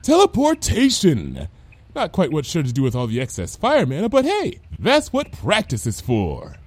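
A man's voice: a little high, huge pitch swings, normal speed, dry recording, pitched above the performer's own voice. A man voicing a carefree, reckless female unicorn pony in a story, breezy and unworried. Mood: enthusiastic.

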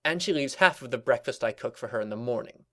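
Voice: mid-range voice, lively intonation, moderate pace, pitched above the performer's own voice, natural voice. A performer voicing a haughty, smug female cartoon pony with a dramatic manner in a story reading. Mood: lamenting.

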